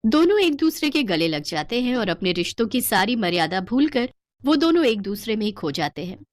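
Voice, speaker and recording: A woman narrates a scripted cartoon story. Her high voice moves with a lot of melody and drama, and the recording is close and dry. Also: sing-song, moderate pace, no echo.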